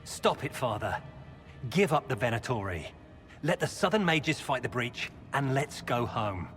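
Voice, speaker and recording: A man's voice: medium pitch, sing-song, measured pace, fairly bright tone, long reverb tail, soft background music. An actor playing a resigned young man, a villain's son, in a video game, earnest and pleading. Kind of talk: video game character acting.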